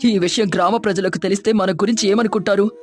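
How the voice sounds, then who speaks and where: A woman's voice: mid-range voice, fairly even intonation, quick pace, natural voice. A woman voicing an angry, grieving village father in a story, bitter and distressed with shame.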